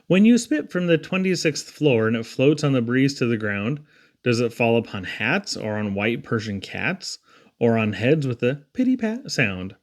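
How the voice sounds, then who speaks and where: A man reading humorous children's poetry aloud in a theatrical, rhythmic, expressive way. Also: mid-range voice, moderate pace. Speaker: poetry reader.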